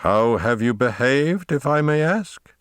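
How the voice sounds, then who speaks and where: Man performing lonely domineering storybook master, theatrical, patronizing, commanding, raised pitch, dry sound. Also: lively intonation, unhurried, a bit bright, smooth, dry recording. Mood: menacing. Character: lonely domineering man, patronizing, menacing, maudlin with his dog.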